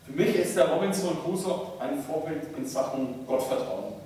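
A man preaching a sermon as a pastor, in measured, deliberate phrases with pauses. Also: a little high, warm tone. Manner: measured, deliberate, pausing between short phrases. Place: large church interior, speaking from a pulpit. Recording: echoey church, distant mic, faint noise.

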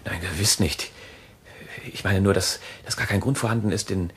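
An actor playing a sceptical, bitterly accusing lover in a German thriller radio play, with a breathy, hoarse voice and an urgent, sharp delivery. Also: male voice, low-pitched, fairly even intonation, moderate pace, slight background noise, lightly acted. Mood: anxious reasoning.

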